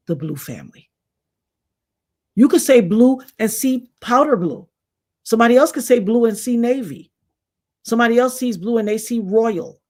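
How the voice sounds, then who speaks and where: Woman, 50s, channel host, casual and preachy, lectures her audience directly. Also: medium pitch. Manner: animated, preachy, addresses viewers as y'all. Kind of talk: casual talk to camera.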